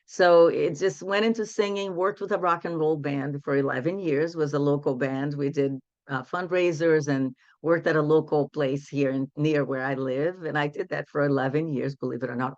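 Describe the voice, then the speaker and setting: A woman's voice: low voice, fairly even intonation, normal speed. An older woman from Brazil speaks English. She talks about her path in an earnest, reflective way. The audio is dull and lo-fi, with a dead, dry sound and no room echo. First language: Portuguese. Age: 60s. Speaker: guest, women's empowerment coach. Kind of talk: casual interview storytelling.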